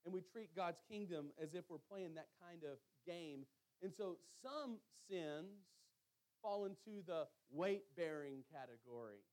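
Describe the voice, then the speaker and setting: Male preacher, high-set voice, sermon delivery that leans on repetition and weighted pauses for emphasis. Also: animated, a little mellow. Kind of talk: sermon with scripture reading and storytelling.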